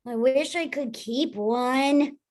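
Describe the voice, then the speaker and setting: A woman's voice: high voice, slow pace, darkish tone. A woman playing a sweet, earnest young boy explorer with a childlike manner, in a script read-through. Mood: tender. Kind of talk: casual online table read of an animated film script.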